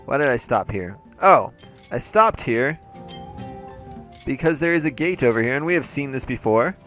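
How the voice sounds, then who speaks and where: Male let's play host, mid-pitched, congested and playful, over soft game music. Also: lively intonation, normal speed. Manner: playful, chatty, jokey asides. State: congested, throat a little off. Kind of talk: casual gameplay commentary.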